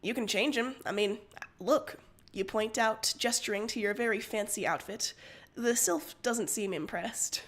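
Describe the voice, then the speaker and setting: Higher-pitched woman narrating a story. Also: a little slow. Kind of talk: second-person story narration, read on a livestream.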